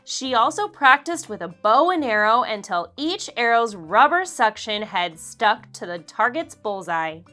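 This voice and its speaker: Bright, animated woman reading a children's story. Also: on the high side, faint music behind. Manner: expressive, deliberate, acts out dialogue. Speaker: children's book narrator. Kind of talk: read-aloud storytelling for kids.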